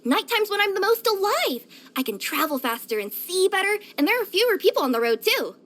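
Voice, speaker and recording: Super high pitched cute cat girl courier for a video game, eager n cheery, really animated. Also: brisk, exaggerated voice. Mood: cheerful, eager. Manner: expressive, cutesy, with gasps and hesitant murmurs. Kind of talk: scripted video game character voice lines.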